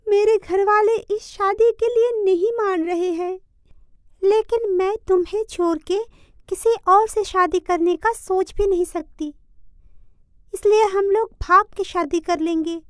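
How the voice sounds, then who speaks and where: A woman playing a naive, gentle, long-suffering young village wife in an animated moral story, meek and plaintive. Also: extremely high pitch, unhurried, dry recording, clean studio sound.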